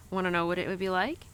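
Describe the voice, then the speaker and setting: A woman's voice: on the low side, a bit bright, slight background noise, natural voice. A woman playing a young, animal-loving cowgirl who confides tenderly in her horse in an intimate, casual, rambling audio roleplay. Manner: casual, rambling, sighing and giggly.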